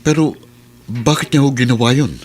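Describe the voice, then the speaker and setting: A man's voice: medium pitch, moderate pace, darkish tone, lightly acted. A man playing a devoted, heartbroken young father in a melodramatic Filipino radio drama, earnest and emotional. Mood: bewildered.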